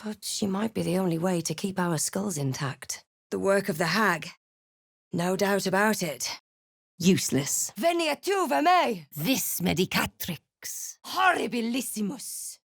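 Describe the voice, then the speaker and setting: A woman playing a snarky, wary female adventurer in a fantasy video game, with a crisp, terse and dramatic delivery. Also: mid-range voice, very animated, measured pace, dry recording, studio quality, full character voice. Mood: forceful incantation. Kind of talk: fantasy video game lines, short remarks and narrated thoughts.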